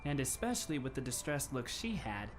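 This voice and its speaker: A man in an anime fan dub playing a polite, composed teenage schoolboy who speaks in formal, measured phrases with a faintly wry touch. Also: medium pitch, big pitch swings, over music, lightly acted. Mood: gentle, wistful.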